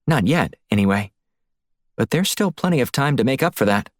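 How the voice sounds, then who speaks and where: A man's voice: mid-range voice, lively intonation, brisk, no room sound, studio quality, voice pushed up, natural voice. A man voicing a capable, cautious female agent in an audiobook, with an earnest, frank and concerned delivery. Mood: reassuring, frank. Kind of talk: audiobook character voice.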